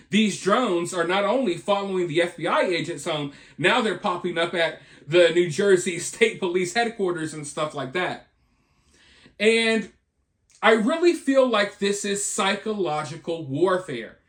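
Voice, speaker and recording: A man's voice: high-pitched, fairly bright tone. A man hosting an opinionated news commentary, speaking emphatically with repeated points and rhetorical questions. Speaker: video host and commentator.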